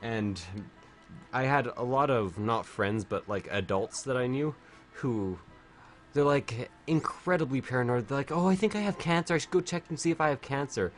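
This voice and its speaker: A man's voice: medium pitch, normal speed, soft background music. Joking Canadian man streaming a game. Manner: joking, swears casually, bursts into song. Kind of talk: casual chat while gaming.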